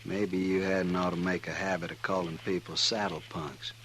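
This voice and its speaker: A cocky cowhand drifter in an old radio western. His voice is low and insinuating, with quiet menace, on a slightly noisy 1950s recording. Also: little pitch movement, normal speed. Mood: cool, challenging. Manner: needling, insinuating.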